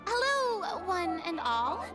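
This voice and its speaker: A woman's voice: extremely high pitch, sing-song, takes it slowly, heavily acted. A woman voicing a proud, theatrical young former stage star in a video game, formal and easily flustered. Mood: flustered, sheepish. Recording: music behind the voice.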